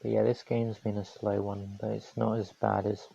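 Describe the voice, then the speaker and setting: Man from New Zealand, hoarse, calm game commentary, mellow dark tone, muffled stream audio. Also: lowish voice, normal speed. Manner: calm, matter-of-fact strategy talk. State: voice going hoarse. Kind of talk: live gameplay commentary.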